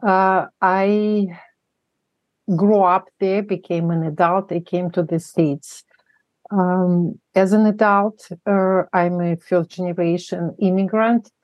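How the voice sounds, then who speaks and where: A middle-aged woman with a Russian accent, a family therapist answering interview questions in halting speech full of pauses and fillers. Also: on the low side, steady tone, dry recording, muffled recording.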